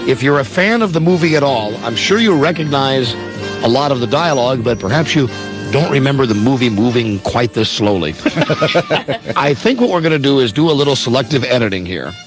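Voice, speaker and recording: A man's voice: medium pitch, expressive, a little fast, music in the background, rough recording. An American man hosting a radio show with casual, rambling, teasing banter. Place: radio studio.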